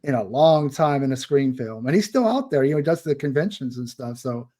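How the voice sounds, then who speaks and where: A man's voice: mid-range voice, animated, fairly quick, warm tone. A man hosting a casual interview, chatty and opinionated, with rambling asides. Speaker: podcast host.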